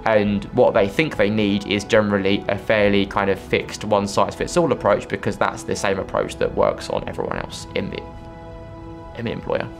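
Young british guy, lowish creaky voice, stammers a bit, being interviewed. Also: lively intonation. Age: young adult. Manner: stammer, repeats words and pauses. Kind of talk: documentary interview. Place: bright office by a window. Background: music.

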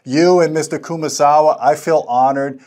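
A man's voice: fairly high pitch, steady tone, moderate pace, darkish tone. Enthusiastic middle-aged American man hosting. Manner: enthusiastic, chummy. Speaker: host of audio review show. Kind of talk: casual video interview. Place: small indoor demo room. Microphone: lapel mic.

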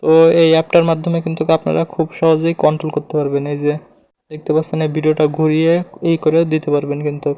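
A man's voice: on the high side, completely flat delivery, fast talker, clear, resonant tone. A man hosts an informal tutorial, narrating step by step and pointing things out as he goes with repeated filler phrases. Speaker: tutorial video host. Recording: slightly dull, lo-fi close recording.

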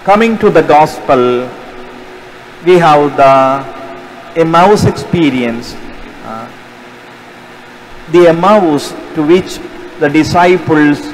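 High-voiced priest preaching in a reverberant cathedral. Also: male voice, animated. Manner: slow and deliberate, gesturing with raised hands. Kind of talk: sermon. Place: large cathedral, at the pulpit. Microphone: stand mic at the pulpit. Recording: long cathedral reverb, faint background noise.

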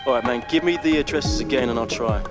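A man's voice: medium pitch, quick pace, over loud music, lightly acted. A man playing an anxious friend helping out over the phone in a video game. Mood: worried urgency. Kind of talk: video game cutscene acting.

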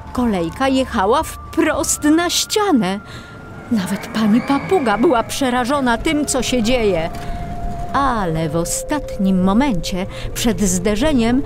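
A woman narrating a scripted children's story in an expressive, suspenseful way. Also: a little high.

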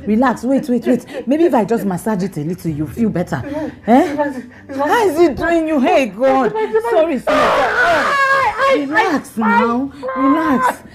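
An actress playing a flustered young wife who keeps apologizing. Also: female voice, high-pitched, sing-song, measured pace, background noise.

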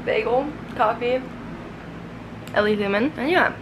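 A woman's voice: fairly high pitch, unhurried, distant mic, noisy background. Chatty young woman vlogging her makeup. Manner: chatty, relaxed, lots of "like" and "um". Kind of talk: casual makeup vlog chat. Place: small bedroom with bathroom doorway, indoors. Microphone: camera set up at arm's length.

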